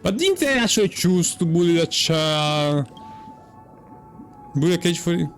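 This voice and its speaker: A man giving casual, halting commentary as he plays a game, thinking aloud and repeating himself. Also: fairly high pitch, big pitch swings, soft background music. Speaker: gaming streamer.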